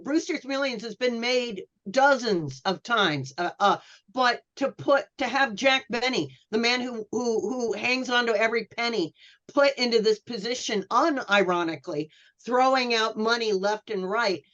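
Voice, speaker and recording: A woman speaking as a panelist in an analytical, scholarly way, with halting phrasing. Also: a little high, a bit bright, lo-fi sound. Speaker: podcast panelist.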